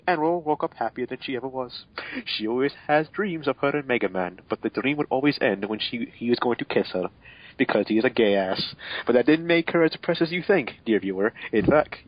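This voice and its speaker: A man's voice: mid-range voice, lo-fi sound. A man doing a mocking dramatic reading as narrator, sarcastic, often stumbling and breaking off to comment. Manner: swears. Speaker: narrator and co-reader.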